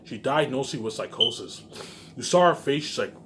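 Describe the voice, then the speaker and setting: A man's voice: mid-range voice, lively intonation, moderate pace, background noise. A man in an agitated, confrontational unscripted rant, repeating himself and swearing often. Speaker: online video maker.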